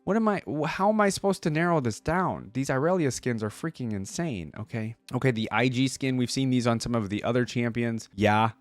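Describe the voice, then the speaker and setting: A man's voice: medium pitch, lively intonation, brisk, hardly any pauses, no echo, soft background music. A man hosting a reaction video, talking casually in an enthusiastic, emphatic, slangy way into a close mic.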